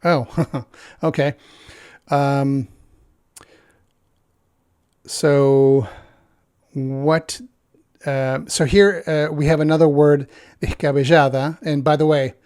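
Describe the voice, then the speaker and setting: A man from Argentina with an Argentine accent reads a text aloud and comments on it, thinking out loud with many pauses and fillers. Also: on the high side, flat delivery, clean tone, dry recording, clean studio sound. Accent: Argentine Spanish. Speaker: channel host.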